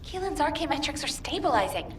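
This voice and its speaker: A woman's voice: high voice, very animated, a little fast, roomy sound, recorded from a distance, a little hiss or hum. An actress playing an earnest mission control operator in a video game, formal and concerned in her delivery. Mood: businesslike. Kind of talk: video game radio dialogue.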